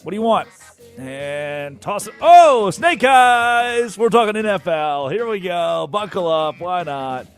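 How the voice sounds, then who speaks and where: A young man hosting a sports radio show, animated and jokey, rambling through asides in a studio. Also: high voice, slow pace, clean tone, faint music behind. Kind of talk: energetic sports talk radio. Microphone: studio microphone.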